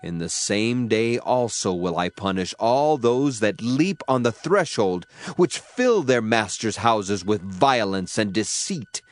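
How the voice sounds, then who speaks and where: A man's voice: on the low side, expressive, slow. A man giving a solemn, dramatized audio Bible reading with declamatory emphasis. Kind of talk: dramatized scripture reading in archaic English. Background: background music.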